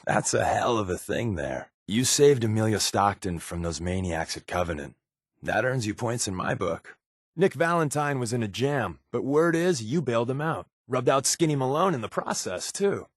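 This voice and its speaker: A man voicing a wry, secretive spy companion in a video game, with casual, sardonic, deadpan quips. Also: mid-range voice, normal speed, slightly rough, dry recording, studio quality. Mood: impressed, probing. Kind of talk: game companion dialogue lines.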